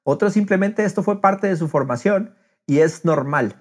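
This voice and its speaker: A middle-aged man with a fairly high voice chats casually on a podcast. He slips English phrases into his sentences and acts out quotes in an animated way. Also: moderate pace. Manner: mixes English phrases into speech.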